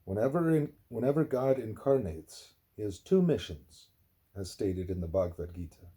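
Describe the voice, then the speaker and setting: A man reading a spiritual book aloud in a measured, explanatory way, with a husky voice. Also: on the low side, very animated, warm tone. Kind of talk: read-aloud scripted narration.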